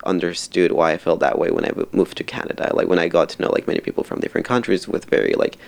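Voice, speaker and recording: Young Mexican-born man, very low gravelly voice, halting chatty guest. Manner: halting, many fillers and restarts. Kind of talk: casual interview chat.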